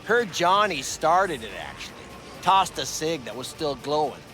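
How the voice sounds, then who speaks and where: An actor playing a skeptical, gruff rock fan in his sixties selling goods in a video game, dismissive and folksy. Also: on the high side, very animated, moderate pace, music in the background. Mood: begrudgingly won over. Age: 60s.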